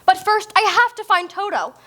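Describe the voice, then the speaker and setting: A woman plays a sincere farm girl who misses home and speaks plainly and earnestly on a theater stage. The voice is very high and bright. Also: lively intonation, normal speed, full character voice. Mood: earnest, homesick. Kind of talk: stage musical acting.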